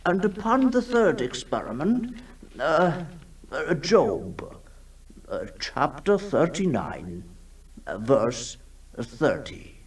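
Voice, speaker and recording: A fussy period gentleman in an old radio play, husky and slow. His formal delivery halts and swoops, a little away from the mic. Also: fairly high pitch, big pitch swings, exaggerated voice. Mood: hesitant, earnest. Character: scholarly, hesitant old-time gentleman writing a solemn account. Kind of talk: 1960s radio drama acting. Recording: distant 1960s radio recording.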